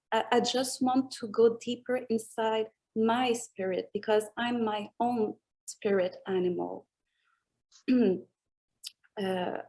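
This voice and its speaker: A woman's voice: medium pitch, mellow, rough recording. A woman with a French accent, a French native speaker, answering interview questions thoughtfully and searching for English words. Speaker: music artist guest.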